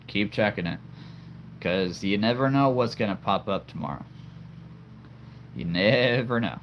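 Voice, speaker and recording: A man's voice: on the low side, animated, a little slow, a little hiss or hum. A man hosting a livestream in casual chat, laughing often and stammering over repeated words. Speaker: livestream host.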